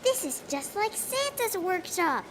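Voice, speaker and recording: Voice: extremely high pitch, big pitch swings, a little slow, fairly bright tone, slight background noise, heavily acted, low-quality recording. An actor voicing an eager, curious, polite little preschool boy in a children's cartoon. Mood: delighted, polite. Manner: polite, inquisitive.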